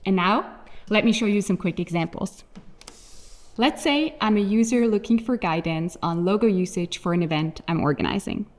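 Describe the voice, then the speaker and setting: A woman whose first language is German, giving a scripted, measured product keynote presentation. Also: mid-range voice.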